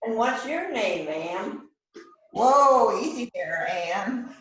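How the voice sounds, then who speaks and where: Woman acting slow, echoey brash detective. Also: fairly high pitch, animated, fairly bright tone. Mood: brisk authority. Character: brash homicide detective taking charge of a crime scene. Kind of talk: amateur radio-style readers theater. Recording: roomy echo, distant mic, background noise.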